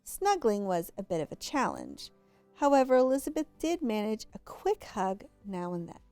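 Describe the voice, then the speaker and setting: A woman's voice: medium pitch, wildly swooping pitch, moderate pace, a little mellow, dry recording, faint music behind. A woman reading a children's story aloud expressively, drawing out words for emphasis. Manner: draws out and stresses words for emphasis. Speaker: storybook reader.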